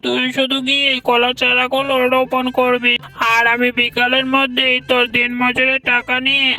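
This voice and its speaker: Woman doing a bossy uncle tiger, bright high voice, fair, talks in orders, music behind. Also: completely flat delivery, normal speed, exaggerated voice. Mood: commanding. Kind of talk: cartoon voice acting. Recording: distant voice with music underneath.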